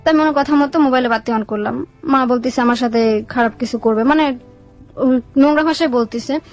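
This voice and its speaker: A woman's voice: sits high, a little fast, dull audio, soft background music. A young adult woman with a Bangladeshi Bengali accent telling her life story in a colloquial, plain-spoken way as an interview guest.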